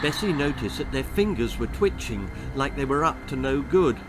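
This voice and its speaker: A man's voice: medium pitch, animated, normal speed, low-quality recording. A man narrating a children's story in a dramatic, scripted storytelling style. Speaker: author reading his own story.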